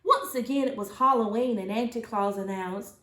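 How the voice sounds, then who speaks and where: A woman teacher reading a children's storybook aloud, with expressive, deliberate phrasing in an echoey room. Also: fairly high pitch.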